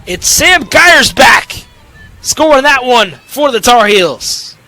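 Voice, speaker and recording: A man's voice: extremely high pitch, animated, takes it slowly, bright, forceful tone. A young man calling play-by-play sports commentary as an announcer. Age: young adult.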